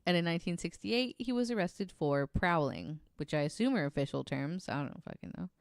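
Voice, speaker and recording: A woman's voice: lowish voice, very animated, brisk, creaky, dry recording, studio quality. A woman co-hosting a podcast, telling true-crime stories in a casual, amused, informal and sweary way. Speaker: podcast co-host.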